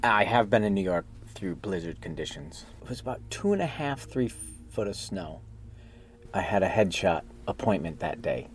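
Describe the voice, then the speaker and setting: A man's voice: low-pitched, sing-song, normal speed, slight background noise. A man, an actor being interviewed, talking in an anecdotal storytelling manner. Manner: storytelling, acts out quotes. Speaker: actor interviewed about his part.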